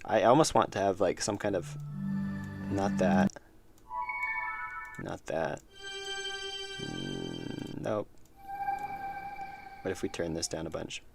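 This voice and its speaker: A man with a deep, low voice talks casually through a livestream, thinking out loud and answering chat. His voice has a gravelly, rough texture. Loud music plays steadily underneath him. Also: expressive, slow pace, pauses often. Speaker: indie game developer livestreaming. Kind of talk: casual livestream commentary while making music. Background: loud music from his own track.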